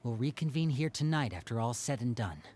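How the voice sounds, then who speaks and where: An actor playing an earnest, protective young magician in a game. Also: male voice, mid-range voice, animated, a little fast, darkish tone, lightly acted. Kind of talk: game voice acting.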